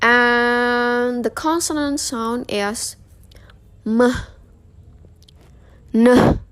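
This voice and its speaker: A young woman gives a scripted student lesson. Her pitch is slightly high and her delivery extremely slow. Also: fairly even intonation, clean tone. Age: young adult. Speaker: student presenter.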